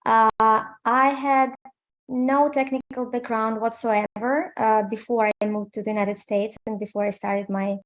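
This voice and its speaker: Woman from ukraine on a video call, bit high voice, lots of uh and um, english. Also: fairly even intonation, a little slow, rough recording. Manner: hesitant, many fillers, plain and candid. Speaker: interview guest, QA automation engineer. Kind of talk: casual interview over video call.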